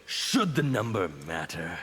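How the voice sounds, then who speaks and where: Gravelly, scarred revolutionary zealot for a fighting game, bright forceful edge, slow defiant delivery. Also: male voice, fairly low pitch, very animated, a little hiss or hum, exaggerated voice. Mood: menacing. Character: bitter fanatical male revolutionary of chaos, scarred and menacing. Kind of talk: video game fight banter.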